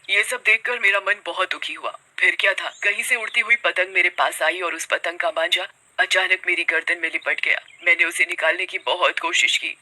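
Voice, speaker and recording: Vengeful cartoon witch, played by a woman, menacing and taunting, very piercing, forceful tone. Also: on the low side. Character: vengeful female witch who turns kite-flyers into kites.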